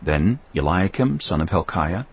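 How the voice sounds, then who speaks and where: A man narrating scripture in a grave, measured way. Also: low-pitched, lively intonation, slightly rough. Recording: dull lo-fi audio.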